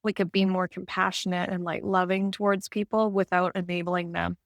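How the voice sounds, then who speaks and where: A woman's voice: on the low side, level tone, moderate pace, no room sound. Candid, rambling woman in casual conversation. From Canada. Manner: informal, rambling, self-reflective, with frequent 'like'. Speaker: client in an energy healing session.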